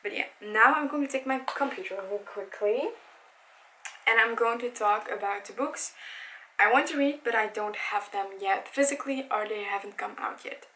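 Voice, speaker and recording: Young woman in her twenties, first language Dutch, easygoing and excitable, chatty vlog delivery with lots of fillers and restarts. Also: fairly high pitch, moderate pace, slight background noise, low-quality recording. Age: 20s. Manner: chatty, enthusiastic, with frequent self-corrections and "like". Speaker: book vlogger. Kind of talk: casual chat. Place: home room by a bookshelf.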